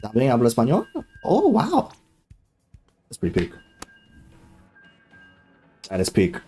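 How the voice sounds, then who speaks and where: A young man chatting casually on a live gaming stream, excitable and loose, full of fillers and slang, swearing freely. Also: medium pitch, measured pace, husky, faint music behind.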